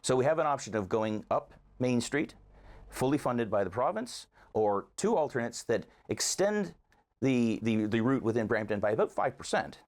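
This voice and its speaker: A man's voice: mid-range voice, very animated, normal speed. A man giving conversational interview answers as a local advocate, earnestly arguing his case point by point.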